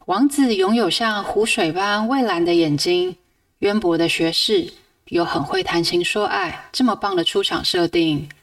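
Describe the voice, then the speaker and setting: A woman's voice: medium pitch, creaky, no echo, recorded from a distance. A woman, narrating a scripted book summary as a podcast host. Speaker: podcast host reviewing books.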